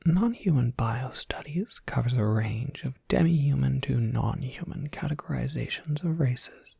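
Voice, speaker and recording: An ASMR performer playing a courteous, soft-spoken university professor, whispering in formal, measured phrases with a breathy, husky voice. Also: mid-range voice, no room sound, heavily acted. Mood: welcoming, formal. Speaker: professor overseeing a college major.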